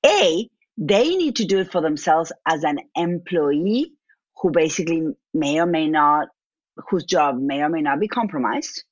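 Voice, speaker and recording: A woman's voice: sits low, expressive, measured pace, no room sound, highs cut off. A woman with an Argentine accent, a business owner answering interview questions and thinking aloud with restarts and repeats.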